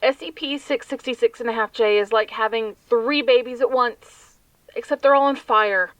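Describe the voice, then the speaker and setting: A woman's voice: fairly high pitch, normal speed, dry recording, far from the mic, close to an everyday voice, rough recording. A woman playing a traumatised, bitter doctor in an audio drama, dry and emphatic. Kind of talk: scripted audio drama testimonial.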